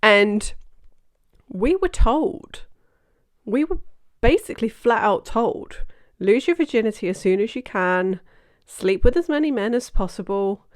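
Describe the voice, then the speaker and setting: A woman's voice: on the high side, lively intonation, a little slow, dry recording, studio quality. A woman in her forties from Britain, hosting a reaction video and giving blunt, opinionated commentary with the odd swear. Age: 40s. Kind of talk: casual commentary over clips.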